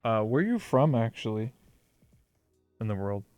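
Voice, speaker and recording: A man's voice: fairly low pitch, very animated, a little mellow, dry recording, faint music behind. Laid-back man hosting. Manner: laid-back, halting, lots of ums and you knows. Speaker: host. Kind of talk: casual interview hosting.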